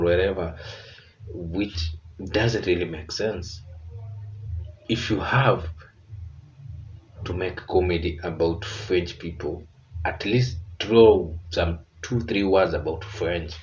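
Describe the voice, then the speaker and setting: Kenyan guy with a real low voice, earnest, reacting to clips, kinda muffled audio. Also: darkish tone, a little hiss or hum. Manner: earnest, reflective, lecturing. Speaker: reaction video host. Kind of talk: casual reaction commentary.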